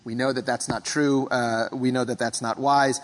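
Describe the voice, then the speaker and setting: A man from the Philippines preaching a sermon in a measured, reflective way, often clearing his throat. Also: mid-range voice, level tone, big-room sound, poor audio. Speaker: pastor.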